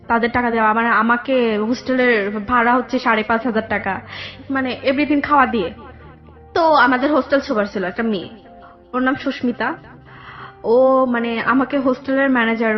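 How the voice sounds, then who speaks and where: Young bangladeshi lady telling her life story on radio, high voice, repeats herself, faint music behind. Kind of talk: personal storytelling in a radio interview. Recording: dull lo-fi radio audio, background music.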